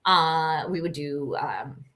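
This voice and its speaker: A middle-aged woman from Costa Rica telling stories casually as an interview guest, with an informal, animated manner. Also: deep voice, takes it slowly. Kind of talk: casual conversational storytelling.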